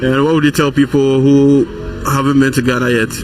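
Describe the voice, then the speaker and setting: A man's voice: mid-range voice, little pitch movement, brisk. A man asking brief, direct interview questions. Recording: distant from the mic. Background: music.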